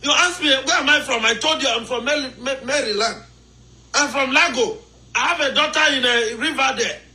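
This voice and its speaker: High, bright-voiced boastful Nigerian man. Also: moderate pace, low-quality recording. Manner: boastful, joking, in Nigerian Pidgin. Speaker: caller. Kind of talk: live call-in chat.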